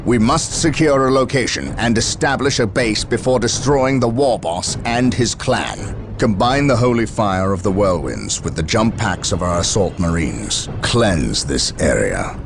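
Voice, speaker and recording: An actor playing a stern space marine officer in a video game, giving orders in a solemn, measured, authoritative way. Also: male voice, fairly low pitch, expressive, vocal fry, background noise. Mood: resolute. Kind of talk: video game cutscene acting.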